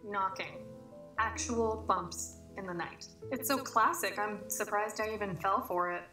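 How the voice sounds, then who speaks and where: A woman's voice: medium pitch, measured pace, sharp tone. Actress as a sceptical woman over music. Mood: wry disbelief. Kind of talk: audio drama acting.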